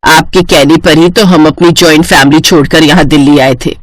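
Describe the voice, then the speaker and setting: A woman's voice: low voice, steady tone, normal speed, no echo, lightly acted. Woman acting a grumbling middle-aged Indian mother. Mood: doubtful, worried. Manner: grumbling, world-weary. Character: middle-aged Indian mother, exasperated, practical, worrying wife. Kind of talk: animated moral-story voice acting.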